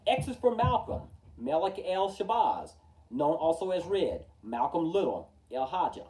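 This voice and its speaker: Expressive male storyteller, very animated pitch. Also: unhurried. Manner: rhythmic, emphatic delivery of rhyming verse. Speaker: storytime reader. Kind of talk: expressive children's picture-book read-aloud. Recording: distant from the mic.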